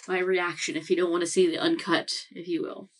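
A woman's voice: on the low side, flat delivery, normal speed, slightly rough. A young woman hosting an anime reaction channel, rambling casually from a room at home, with lots of fillers and self-corrections. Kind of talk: casual chat recap.

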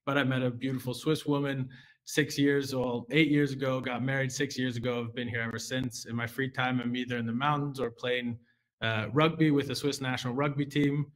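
A man's voice: medium pitch, level tone, brisk, poor audio. A young American man, a junior relationship manager, presenting a finance webinar from an office webcam in a conversational way, with fillers and restarts. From United States.

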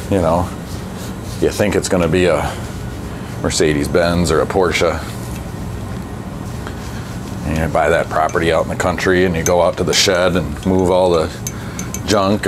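A man working on a bike in his workshop, talking casually through each step with a relaxed, chatty manner. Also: deep voice. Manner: relaxed, chatty, thinks aloud with fillers. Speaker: bike mechanic and channel host. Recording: workshop noise behind the voice.

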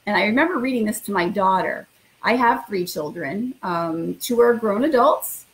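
A woman's voice: mid-range voice, animated, moderate pace, rough recording. A woman in middle age, a school principal, talking informally and reading a picture book to children in an encouraging way. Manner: encouraging, addresses children directly.

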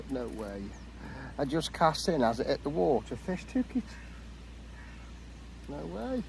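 A middle-aged man from England who vlogs his fishing, chatting to a camera on the bank of a lake outdoors, excitable and chuckling as he calls out his catches. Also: on the high side, slow pace, gravelly, slight background noise, rough recording. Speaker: angler vlogger. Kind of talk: casual fishing commentary to camera. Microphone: camera close by on the bank.

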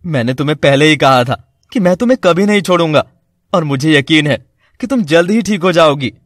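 A man's voice: a little high, expressive, fairly quick, no room sound, studio quality, lightly acted. A man playing a devoted, worried young college student in a cartoon story, earnest and reassuring.

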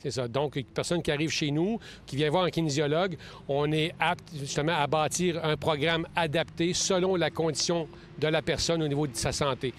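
Middle-aged Quebec man, kinesiologist guest, earnest and explanatory, slightly raised pitch, recorded outdoors on a handheld mic. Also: slight background noise. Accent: Quebec French. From Quebec, Saguenay-Lac-Saint-Jean. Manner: earnest, explanatory, with frequent restarts. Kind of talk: casual interview answers. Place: outdoors in a leafy park. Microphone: handheld mic held close.

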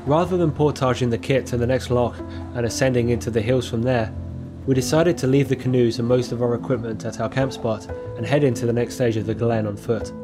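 A man gives a calm, reflective voice-over at a mid pitch, each sentence measured and unhurried. His voice sits over clear music playing beneath the narration. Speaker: filmmaker narrating his own trip. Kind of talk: scripted documentary voice-over narration. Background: music playing under the voice.

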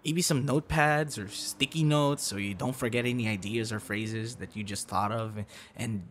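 A man's voice: medium pitch, normal speed, fairly bright tone, a little hiss or hum, lightly acted. A young man acting a teasing, friendly boyfriend figure in an intimate, casual audio roleplay. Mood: casual, playful. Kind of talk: ASMR boyfriend roleplay, intimate one-sided dialogue.

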